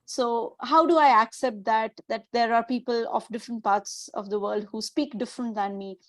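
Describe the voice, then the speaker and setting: Woman teacher from India, fairly high voice, halting delivery, dry sound. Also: fairly even intonation, no echo. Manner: hesitant, many fillers. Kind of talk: webinar discussion.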